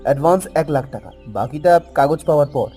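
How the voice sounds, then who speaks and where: A man's voice: a little high, animated, fast talker, natural voice. A man voicing a rich, demanding city businessman who talks in a businesslike way, sprinkling in English words and hesitant "ah"s, in a cartoon story. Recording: soft background music.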